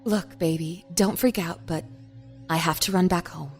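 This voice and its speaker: A woman playing a tense married woman feigning calm on the phone in an audio drama, guarded yet reassuring. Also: lowish voice, moderate pace, soft background music, lightly acted.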